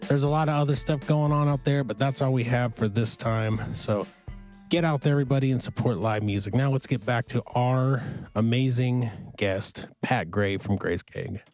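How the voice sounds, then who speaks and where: A man's voice: mid-range voice, normal speed, darkish tone. A man hosting a podcast in a studio, with a smoky voice, upbeat and urging listeners to get out and support music. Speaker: podcast host. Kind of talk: casual podcast chat.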